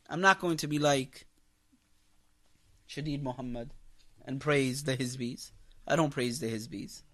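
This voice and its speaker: A man teaching a religious class as an imam, lecturing with emphasis, repeating key phrases and putting rhetorical questions to his listeners. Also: medium pitch. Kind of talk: impassioned religious lecture to a congregation.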